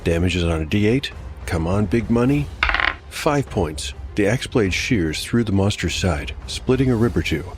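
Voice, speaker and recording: A man's voice: lowish voice, expressive, moderate pace. A man narrating a solo tabletop game as game master, moving between dramatic storytelling and wry asides about the dice. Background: background music.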